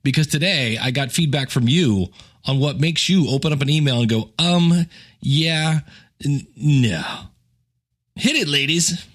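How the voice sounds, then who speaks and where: A man's voice: a little high, unhurried, fairly bright tone. A man hosting a podcast as a coach, talking informally and directly to his listeners in a conversational monologue.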